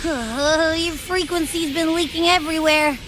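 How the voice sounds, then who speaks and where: Small childlike game creature, very high voice, slow delivery, spirited, clearly noisy stream capture. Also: female voice, fairly even intonation. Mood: concerned, puzzled. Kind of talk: anime game voice acting.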